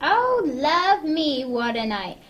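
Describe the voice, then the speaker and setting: Woman doing a cheeky wide-eyed kid, radio drama, super high, drawn out. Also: sing-song, takes it slowly, fairly bright tone, full character voice. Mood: delighted. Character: excited, cheeky working-class little child. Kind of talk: amateur radio drama acting. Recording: clearly noisy amateur recording.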